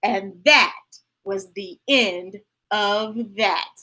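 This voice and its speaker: A woman's voice: mid-range voice, lively intonation, drawn-out words, vocal fry, pushed and bright. A woman reading picture books aloud to children, playful and chatty, breaking into sing-song and sound effects. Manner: chatty, talking directly to young listeners. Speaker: children's story read-aloud host. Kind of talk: animated picture-book read-aloud with chatty asides.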